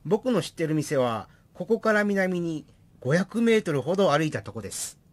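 A man's voice: on the high side, sing-song, moderate pace. A man playing an eager, sociable accountant in a comic radio drama.

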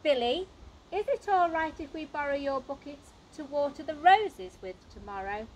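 A woman's voice: high-pitched, expressive, normal speed, darkish tone, slight background noise, pitched above the performer's own voice, poor audio. A woman voicing an indulgent, patient mother in a children's storybook, humouring her child with emphasis. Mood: teasing. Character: amused, indulgent mother of a young boy.

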